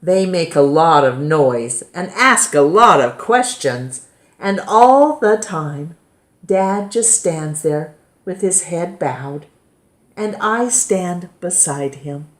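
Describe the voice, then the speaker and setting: A woman reading a children's picture book aloud in a measured, gently expressive way. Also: low-pitched. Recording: roomy, echoing indoor sound.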